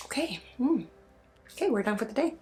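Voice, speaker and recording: A woman leading an ASMR energy healing session, soft-spoken and often slipping into a breathy whisper. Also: fairly high pitch, expressive, brisk, over music. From Sweden. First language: Swedish. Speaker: energy healer and spiritual guide.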